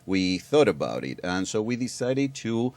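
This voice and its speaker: A man with a very low, deep voice talks in a measured, explanatory way, often pausing and restarting his sentences. He is a middle-aged guest from Argentina on a public affairs interview program. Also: big pitch swings, darkish tone, no echo. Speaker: guest expert.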